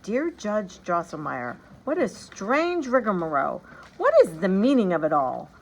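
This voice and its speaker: Woman doing a soft-spoken, gentle mother. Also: medium pitch, big pitch swings, measured pace, slightly rough, exaggerated voice, rough recording. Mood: grave. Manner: soft and soothing.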